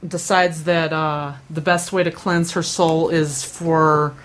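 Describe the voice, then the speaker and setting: A woman's voice: low-pitched, level tone, slow. A young woman rambling through casual stories, with lots of fillers, restarts and self-deprecating asides. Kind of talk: casual chat.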